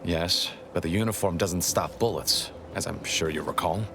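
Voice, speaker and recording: An actor playing a grave, guarded rebel spymaster in a video game, speaking in a formal, articulate way. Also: male voice, low voice, moderate pace, gravelly, noisy background. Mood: grave, urgent. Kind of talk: dramatic video game dialogue.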